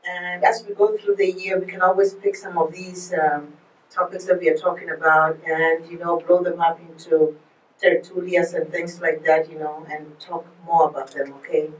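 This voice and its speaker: A woman's voice: fairly low pitch, level tone, moderate pace. A woman moderating a forum, encouraging the group. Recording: echoey room from far off.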